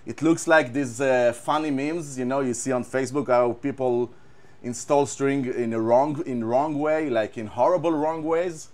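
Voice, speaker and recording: A man with an Israeli accent, a guitar tech, talking casually and haltingly, repeating words and using many fillers. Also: mid-range voice, darkish tone. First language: Hebrew. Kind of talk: casual show-and-tell chat.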